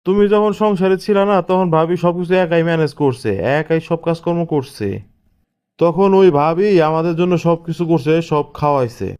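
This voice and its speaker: A man's voice: fairly high pitch, moderate pace, a little mellow, clear, resonant tone, lightly acted. A man voicing an exasperated young husband in a cartoon family comedy, scolding and questioning in a Bangladeshi Bengali accent. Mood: scolding, fed up.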